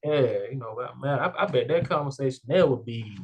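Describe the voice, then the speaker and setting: A man's voice: mid-range voice, fairly quick, highs cut off, crowd noise. Easygoing man hosting, full of fillers. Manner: rambling, heavy "like" and "you know" fillers, upbeat. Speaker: podcast host. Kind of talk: casual interview chat.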